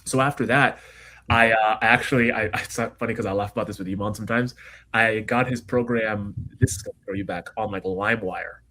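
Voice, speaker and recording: Fast-talking young man with American accent. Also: on the low side, rough recording. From Kenya. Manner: fast, energetic storytelling with frequent fillers. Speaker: guest entrepreneur. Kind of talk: casual interview chat.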